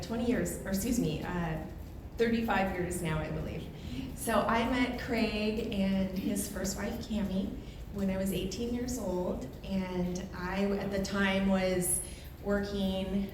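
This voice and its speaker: A woman in her fifties, a family friend, hesitantly sharing a memory at a memorial. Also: mid-range voice. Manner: hesitant, searching for words. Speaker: family friend giving a eulogy. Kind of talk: memorial remembrance. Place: memorial gathering room. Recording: very echoey room with background noise.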